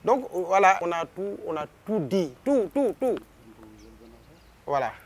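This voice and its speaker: A man with a high voice and a Senegalese accent talks in an interview. His delivery is emphatic, with phrases repeated for weight. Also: very animated, slow pace. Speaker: singer interviewee. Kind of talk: interview talk.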